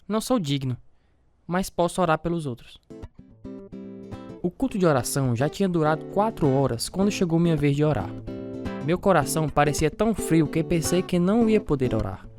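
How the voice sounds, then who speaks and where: A man's voice: on the high side, animated, normal speed, music in the background, lightly acted. A man acting as a devout, self-doubting family man, narrating and talking in an earnest, confessional way with a Brazilian accent in a religious radio drama. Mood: humble, solemn.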